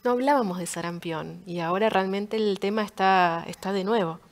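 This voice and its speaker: A woman's voice: mid-range voice, normal speed. A woman moderating a panel in a conversational way.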